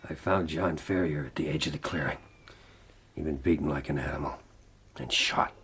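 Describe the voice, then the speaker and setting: An actor playing a desperate, haunted frontiersman in a radio drama, grave and weary, with a gravelly, husky voice. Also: very deep voice, moderate pace, a bit bright, heavily acted. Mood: bleak, grieving. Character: haunted, desperate male frontiersman recounting his lost love. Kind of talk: radio drama acting and narration.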